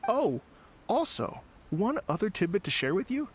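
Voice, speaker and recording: A man's voice: high voice, very animated, normal speed, slight background noise. A man playing an earnest, courteous and slightly hesitant festival organizer in a video game. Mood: grateful, earnest. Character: earnest polite gentleman music festival organizer.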